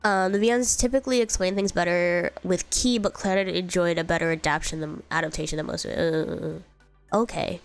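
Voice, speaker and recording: A woman's voice: fairly low pitch, fairly even intonation, brisk, vocal fry, soft background music. A young woman streamer with a congested, slightly sick voice, chatting casually and rambling through comments with lots of fillers and giggles. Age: young adult. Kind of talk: casual chat reading viewer comments.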